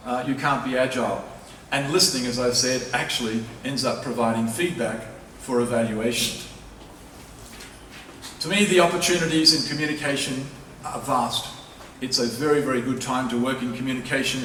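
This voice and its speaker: A man's voice: mid-range voice, fairly even intonation, normal speed, fairly bright tone. A man giving a public lecture as a professor, speaking in an emphatic, persuasive way and repeating key phrases for stress. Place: large lecture hall at a university. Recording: echoey hall, distant mic, faint noise.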